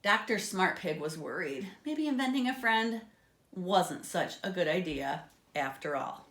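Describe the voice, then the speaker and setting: Bright-voiced woman reading a children's story. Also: on the low side, measured pace. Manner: expressive, dramatic. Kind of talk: children's read-aloud with asides to listeners. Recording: echoey, roomy sound.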